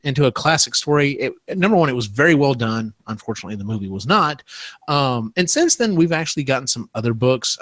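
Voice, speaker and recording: A man's voice: mid-range voice, dry recording. A man hosting a casual panel discussion, talking in a rambling, conversational way. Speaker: podcast host.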